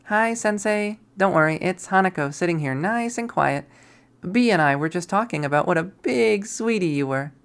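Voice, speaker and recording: A man voicing a bubbly, teasing girl in a visual novel, with a higher trilling voice. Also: animated, normal speed, vocal fry, a bit bright, clear, resonant tone, full character voice. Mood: sweetly teasing.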